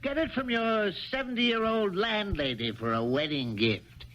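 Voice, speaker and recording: An actor playing a teasing, jovial chief clerk in a 1970s radio drama, with a needling, worldly manner. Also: male voice, high-pitched, animated, a little slow, fairly bright tone. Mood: mocking, teasing. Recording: muffled, hissy 1970s radio broadcast.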